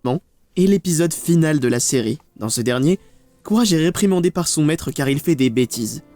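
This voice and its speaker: A man narrates a scripted video essay with a measured, ominous storytelling delivery. His voice is a touch higher than average for a man. Music plays softly underneath. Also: lively intonation, no room sound. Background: background music.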